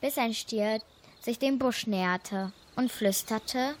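A woman's voice: on the high side, slow pace, slight background noise. A woman reading scripted storybook narration for a children's fable.

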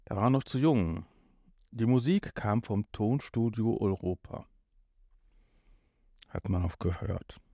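A man's voice: fairly low pitch, takes it slowly, long pauses, mellow. A man in his fifties from North Rhine-Westphalia hosting a casual podcast in a rambling, jokey way. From North Rhine-Westphalia, Germany. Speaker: podcast host. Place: room in a family home. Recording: dull, roomy home recording.

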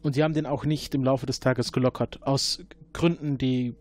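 A man's voice: medium pitch, fairly even intonation, moderate pace, a little mellow, no room sound, natural voice. A man playing a time-travel agent who questions a suspect in a probing, dryly sarcastic way, in an audio drama. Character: probing male time-travel agent, adult, sardonic interrogator.

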